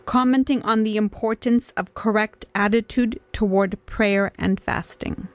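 A woman's voice: medium pitch, steady tone, a little slow, dry recording. A woman reading a scripted radio introduction as an announcer.

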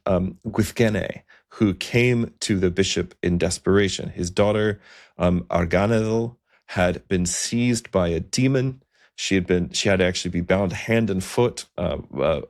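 Deep-voiced man telling history. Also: darkish tone. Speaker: podcast host. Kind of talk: semi-scripted historical storytelling.

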